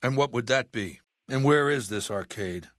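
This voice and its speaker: A man playing an aging, imperious warlord dictator in a video game, with a measured, commanding and menacing manner. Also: mid-range voice, expressive, a bit bright, dry recording, studio quality, natural voice. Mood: cold, commanding. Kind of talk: video game character dialogue.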